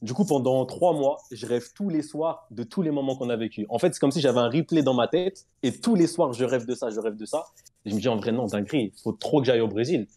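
Quick-talking man telling his story. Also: medium pitch. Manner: relaxed, rambling with fillers. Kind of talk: casual personal storytelling.

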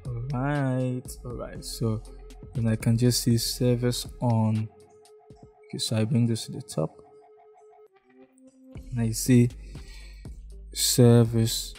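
A man's voice: medium pitch, slow pace, long pauses, soft background music. A young man from Ghana with a Ghanaian English accent, talking step by step through a screen-recorded design tutorial and often checking in with "okay?". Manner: relaxed, step-by-step, frequent "okay?" check-ins. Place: indoor room, face in webcam corner.

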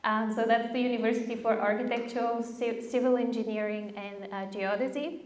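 A woman's voice: a little high, level tone, brisk. A woman in her thirties from Bulgaria giving an enthusiastic conference talk at a podium mic in a large lecture hall, often checking in with the audience. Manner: enthusiastic, chatty, asks the audience with "right?" and "okay?". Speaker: speaker. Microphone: podium mic on a stand. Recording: roomy hall sound.

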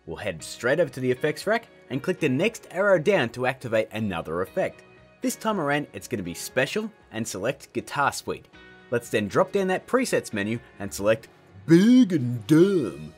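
A man's voice: mid-range voice, wildly swooping pitch, normal speed, soft background music. A man in his thirties hosting a casual scripted tutorial in a jokey, emphatic, theatrical way. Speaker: tutorial host.

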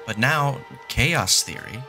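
A man's voice: mid-range voice, lively intonation, slow, gravelly, pushed and bright, faint music behind, full character voice. A man voicing a confident, sardonic male mathematician who explains things patiently and emphatically in a fan audio drama. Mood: lecturing. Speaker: fan voice actor.

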